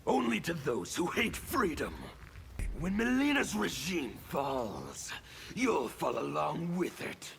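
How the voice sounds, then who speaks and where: A man voicing a fanatical, defiant revolutionary warrior in a video game, with a gravelly, menacing, declamatory delivery. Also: on the high side, very animated, slow, fairly bright tone, a little hiss or hum, exaggerated voice. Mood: fervent, defiant. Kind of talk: video game character dialogue.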